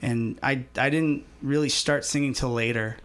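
A man in his twenties, a musician, talking casually in an interview in a relaxed, rambling way with many pauses. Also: medium pitch. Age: 20s. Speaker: musician guest.

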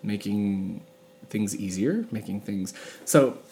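A woman in her thirties co-hosting a podcast, chatting casually in a halting way and often interrupting herself. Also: very deep voice, dark tone, a little hiss or hum. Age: 30s. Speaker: podcast co-host. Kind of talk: casual chat.

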